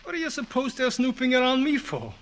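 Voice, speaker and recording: A kindly, crotchety old European professor in a vintage radio drama. His voice is very high and swoops animatedly, with a fussy, avuncular air. The old broadcast is clearly noisy, with clicks. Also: big pitch swings, a little fast. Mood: grumbling. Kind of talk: classic radio drama character acting.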